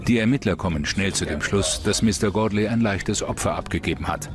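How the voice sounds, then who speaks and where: Very deep-voiced male true-crime narrator. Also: a little fast, over music. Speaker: documentary narrator.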